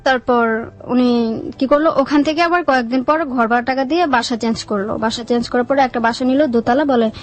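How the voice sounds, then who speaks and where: High-voiced young Bangladeshi woman telling her story. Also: fairly quick. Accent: Bangladeshi Bengali. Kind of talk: personal storytelling in an interview.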